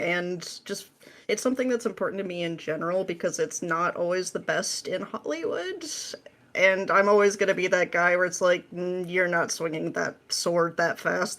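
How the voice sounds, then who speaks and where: A woman being interviewed as a guest, chatting casually in a jokey, animated manner. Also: lowish voice. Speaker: podcast guest, script writer.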